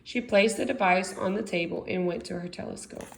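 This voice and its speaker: A woman's voice: fairly low pitch, brisk, vocal fry, a little hiss or hum, poor audio. A woman with an American accent reading a storybook aloud expressively, as a teacher does for young children. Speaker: second grade teacher.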